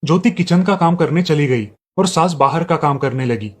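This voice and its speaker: A man's voice: fairly high pitch, moderate pace. A man reading scripted narration for a moral family story.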